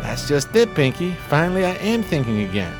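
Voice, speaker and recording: A man's voice: fairly high pitch, big pitch swings, brisk. An actor playing a grandiose, dreamy homeless man with florid, theatrical speech in a radio play. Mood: resolute. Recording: music playing behind the voice.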